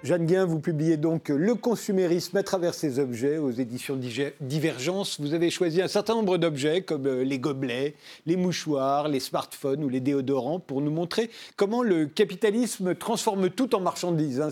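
Mellow-voiced French male talk host. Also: a little high, lively intonation, normal speed, hardly any pauses. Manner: chatty, laughing asides, hesitant restarts. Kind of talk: studio interview.

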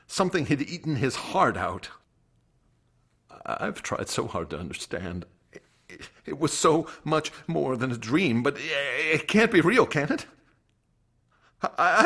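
A man's voice: a little high, big pitch swings, bright, forceful tone, husky, full character voice. An actor playing a haunted young man recounting a friend's horrific death, in an intense radio drama style. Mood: shaken, bewildered. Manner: intense, halting when distressed. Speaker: narrator and lead actor. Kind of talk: dramatic radio play narration. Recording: dramatic music under the voice.

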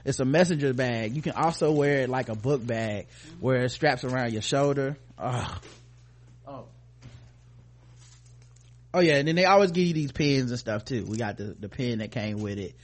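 A man's voice: medium pitch, expressive, normal speed. An American man co-hosting a podcast in casual, profane, slangy chat, playfully addressing his listeners. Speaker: podcast co-host.

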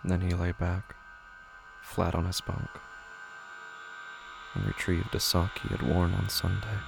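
A man's voice: deep voice, animated, measured pace, creaky, full character voice. A man narrating a horror audio drama with grave, tense, scripted delivery. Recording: loud music under the voice.

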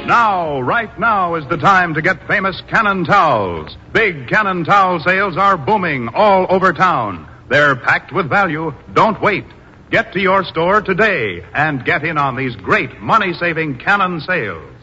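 A man's voice: on the high side, animated, measured pace, sharp tone, breathy edge. A man delivering an emphatic 1950s radio commercial as an announcer. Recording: muffled radio recording, music behind.

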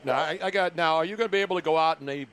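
A man's voice: a little high, very fast, fairly bright tone, smooth. A middle-aged or older American man hosting a casual sports podcast in a brash, slangy, bantering way. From Philadelphia, USA. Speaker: podcast host.

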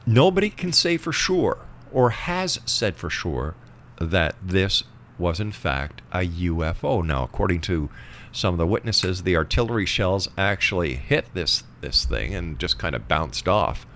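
A man's voice: deep voice, sing-song, a little slow, fairly bright tone, a little hiss or hum. A man hosting an interview show, talking conversationally and making his points with emphasis.

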